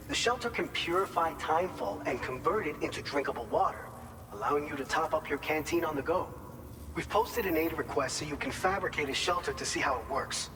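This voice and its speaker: A man's voice: on the high side, close to an everyday voice. A man playing an upbeat, chatty distribution center staffer, briefing a courier in an informal, explanatory way in a video game cutscene. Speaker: briefing officer. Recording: noisy ambience, long hall reverb.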